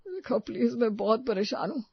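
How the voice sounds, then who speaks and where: A man's voice: very high-pitched, animated, normal speed, vocal fry, breathy edge, no echo, highs cut off, full character voice. A man voicing an anxious, despairing grown son in a storybook family tale, pleading and fretful.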